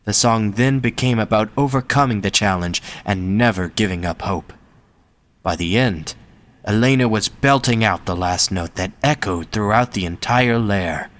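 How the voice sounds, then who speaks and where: A man's voice: lowish voice, moderate pace, fairly bright tone. A man reading scripted story narration as the narrator of an audio drama.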